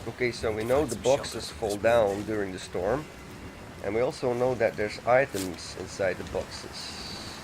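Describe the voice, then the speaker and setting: A man's voice: on the low side, unhurried, noisy background. A man chatting loosely and rambling as he plays a video game, often correcting himself. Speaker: let's play gamer. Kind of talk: casual gaming commentary. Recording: music playing under the voice.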